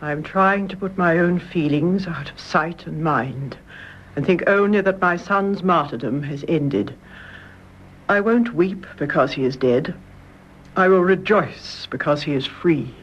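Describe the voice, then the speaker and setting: A woman's voice: on the low side, a little slow, slightly rough. A stoical older British mother in a radio play, dignified in her grief. She speaks solemnly and with composure on a slightly dull recording. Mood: composed grief. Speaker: actress in a radio drama. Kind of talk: radio drama acting.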